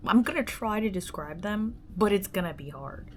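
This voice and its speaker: A woman hosting her own podcast in a reflective personal monologue, musing and halting with many fillers, often dropping into a soft half-whisper. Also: mid-range voice, lively intonation, a little hiss or hum. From born in the Dominican Republic, raised in the United States. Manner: gestures with her hands while talking. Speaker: solo podcast host.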